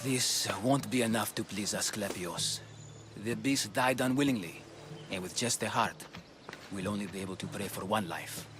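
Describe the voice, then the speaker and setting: An actor playing a solemn priest of an ancient Greek sanctuary in a video game, speaking in a measured, grave way. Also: male voice, on the low side, noisy background, natural voice. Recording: loud music under the voice.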